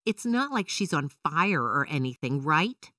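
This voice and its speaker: A woman's voice: low-pitched, big pitch swings, unhurried, sharp tone, no echo, clean studio sound, recorded from a distance, full character voice. A woman voicing a curious, blunt young girl in a children's story, questioning and incredulous. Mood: puzzled.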